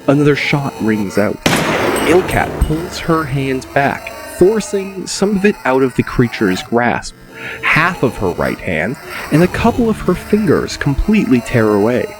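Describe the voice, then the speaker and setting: A man narrating a scripted audiobook dramatically, in a deliberate, descriptive way. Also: medium pitch, big pitch swings, rough recording. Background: music.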